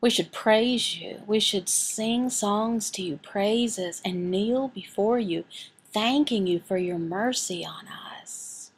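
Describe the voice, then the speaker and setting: A woman voicing a signed sermon as an interpreter, speaking deliberately, phrase by phrase with pauses. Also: mid-range voice, a little hiss or hum. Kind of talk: sermon and prayer, voiced from sign language.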